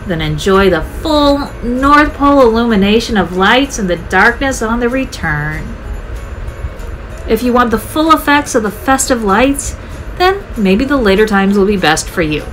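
A woman's voice: medium pitch, fairly bright tone, rough recording. A woman narrating her travel vlog in a scripted voice-over, upbeat, chatty and enthusiastic. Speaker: travel vlogger.

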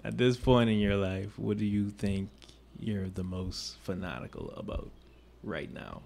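A man's voice: fairly low pitch, animated, a little slow, gravelly, darkish tone. A man hosting a podcast in a small studio, playful, asking questions, close on a desk mic. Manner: playful, asks questions, short reactions. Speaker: podcast host. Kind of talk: casual podcast chat.

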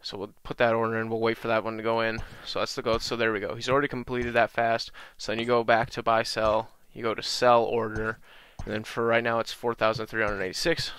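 A young man with a slight cold-raspy voice, casually walking through a video game tutorial with lots of ums. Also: on the low side. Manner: relaxed, many ums and uhs, talks through menus. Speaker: gaming channel host.

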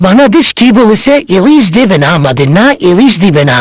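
A man's voice: high-pitched, sing-song, a little fast, slight background noise. A man voicing a fawning elderly politician in a comic cartoon, sweet-talking and pleading to placate a lover. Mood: wheedling. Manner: wheedling, sweet-talking with pet names.

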